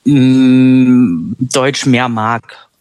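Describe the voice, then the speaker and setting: A man in a band talks about writing lyrics in a relaxed, chatty way, laughing now and then. His pitch is middling. The recording is dry. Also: very slow, clean tone, dry recording, poor audio. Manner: chatty, laughs between sentences. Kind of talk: casual interview answer.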